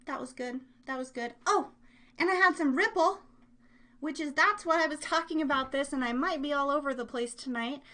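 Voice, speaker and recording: A woman's voice: high-pitched, normal speed, sharp tone. A woman hosting a live online show, chatting casually in a bubbly, playful, scattered way. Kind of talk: casual rambling livestream chat.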